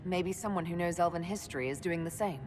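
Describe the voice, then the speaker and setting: An actress playing a composed, resolute female leader with a direct, measured manner in a video game. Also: lowish voice, lightly acted. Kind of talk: dramatic video game dialogue. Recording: cavernous reverb with loud background noise.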